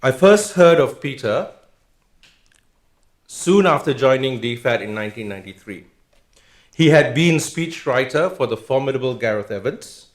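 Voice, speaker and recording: A man's voice: mid-range voice, animated, normal speed, long pauses. A middle-aged man giving a formal speech of introduction as a host, telling anecdotes along the way. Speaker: host introducing a guest lecturer. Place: indoor public lecture event. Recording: roomy, echoing event venue sound.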